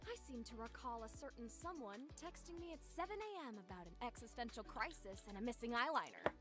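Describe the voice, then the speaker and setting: A woman's voice: on the high side, sing-song, brisk, over music. A woman voicing a sly, teasing young female student in a visual novel, playful and dryly knowing.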